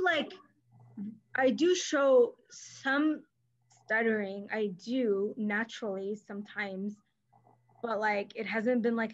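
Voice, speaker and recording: A young woman speaks as a guest over a video call, chatty, with light stuttering and restarts. Her voice sits a little high. The webcam audio is dull and lo-fi. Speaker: guest, speech therapist. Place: small room indoors. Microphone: webcam mic on video call.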